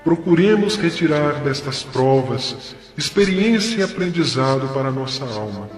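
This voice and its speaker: Man acting a slow, echoing, solemn spirit mentor. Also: a little high. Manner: solemn, measured, preaching with deliberate pauses. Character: benevolent male spirit mentor, solemn, gentle, fatherly counsellor. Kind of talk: audio drama, exhortation. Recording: echoey long hall reverb, music behind. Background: music.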